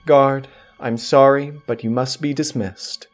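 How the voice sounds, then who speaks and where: A man's voice: medium pitch, steady tone, unhurried, faint music behind, pitched above the performer's own voice, close to an everyday voice. A man voicing a lazy, petulant female pony ruler with self-important royal phrasing in a comic storybook. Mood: apologetic.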